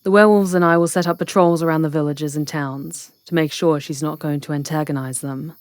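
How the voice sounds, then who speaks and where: A woman's voice: low voice, fairly even intonation, fairly quick, no echo, clean studio sound, close to an everyday voice. A woman playing a matter-of-fact witch leader allied with a hunter, talking plainly and conversationally in an ASMR fantasy roleplay. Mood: wry, businesslike. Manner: plain-spoken, conversational, explanatory.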